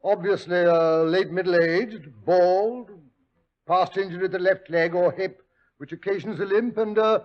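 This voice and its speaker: An actor playing a good-natured, bumbling doctor who hesitates with many uhs. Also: male voice, high voice, steady tone, unhurried. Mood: blustery. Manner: hesitant, full of uhs, blustery. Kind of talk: 1940s radio acting. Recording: dull old radio broadcast.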